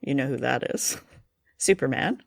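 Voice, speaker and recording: A woman's voice: rumbling low, a little slow, creaky, no echo, studio quality. A woman hosting a radio show and podcast in a conversational style.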